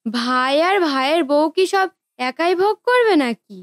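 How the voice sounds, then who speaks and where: A woman's voice: high voice, expressive, measured pace, dry recording, studio quality. A woman playing a resentful, scheming married sister in a cartoon family drama, snide and insistent.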